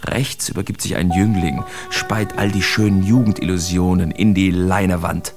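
A man's voice: low voice, fairly even intonation, normal speed, music in the background. An actor playing a brash, cynical big-city painter declaiming his feverish letters in a dramatic radio reading. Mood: bitter, sardonic.

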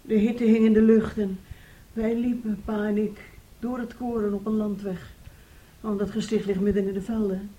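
An actress playing a troubled, soothing aunt in a Dutch radio play, telling things in a halting, emotive way. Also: female voice, medium pitch, level tone, mellow, highs cut off, lightly acted. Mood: sorrowful recollection. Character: troubled, soothing aunt and mother of a disturbed boy.

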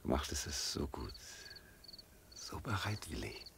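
Very deep-voiced, quiet lover. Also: male voice, big pitch swings, heavily acted. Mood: soft, enamoured. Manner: quiet, halting, intimate. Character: reserved, tender man in love. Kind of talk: German radio drama acting. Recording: clear night insect noise behind. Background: crickets.

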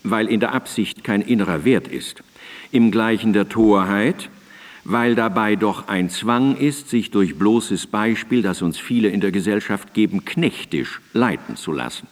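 An actor playing an elderly philosopher in a radio drama, lecturing precisely and emphatically in formal, scholarly language. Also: male voice, fairly low pitch, animated, unhurried. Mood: didactic, wry. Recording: reverberant hall, radio drama on cassette.

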